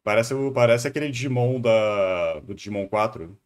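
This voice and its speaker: A man's voice: mid-range voice, moderate pace. A man with a Brazilian Portuguese accent giving casual live-stream commentary, hesitating and repeating words as he thinks aloud. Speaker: streamer reacting to a video.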